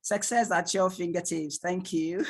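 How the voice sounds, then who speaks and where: A male tutor with a Nigerian accent leads an online session for parents over a computer mic. He talks in an animated way, stacks rhetorical questions and laughs easily while reading the chat. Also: high-pitched, a little slow. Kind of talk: online training lecture to parents.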